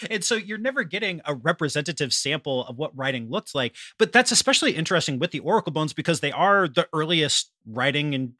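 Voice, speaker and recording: A man with a bright, forceful, fairly high voice hosts a scholarly conversation. The sound is very dry, and he adds articulate, reflective remarks of his own as he leads into questions. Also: dry recording. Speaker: interviewer, host.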